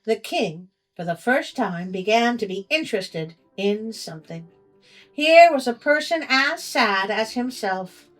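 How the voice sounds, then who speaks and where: Female storyteller, fairly high voice, unhurried and theatrical, tongue-in-cheek delivery over music. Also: expressive, fairly bright tone, low-quality recording. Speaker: storyteller, show host. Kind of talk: storybook reading with comic asides.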